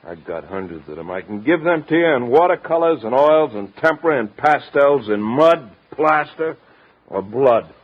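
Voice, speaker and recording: An American actor playing a moody, sardonic, lovesick sketch artist with an obsessive streak, clipped in delivery, in a 1950s radio drama. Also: male voice, mid-range voice, lively intonation, moderate pace, natural voice. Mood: intense, obsessive. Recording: dull, muffled vintage radio recording.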